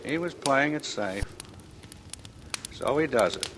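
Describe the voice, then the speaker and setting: An actor playing a methodical, calmly certain police captain in a clipped 1940s radio style. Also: male voice, mid-range voice, slow. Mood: matter-of-fact. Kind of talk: 1940s radio crime drama acting. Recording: noisy old radio recording.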